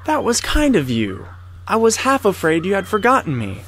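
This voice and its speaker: A humble, kindly gardener in an audio drama. He is meek and eager, with a rather high voice that rises and falls freely. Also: huge pitch swings, normal speed, fairly bright tone, a little hiss or hum, full character voice. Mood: grateful. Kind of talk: theatrical audio drama acting.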